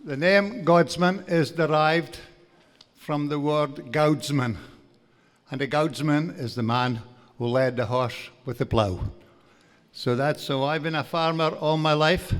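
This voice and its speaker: An older man from Aberdeenshire with a broad Doric Scottish accent, giving a jovial after-dinner lecture at a stand mic in a large hall. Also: a little high. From Aberdeenshire, Scotland. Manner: jovial, folksy, reading from notes. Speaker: guest speaker. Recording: long hall reverb.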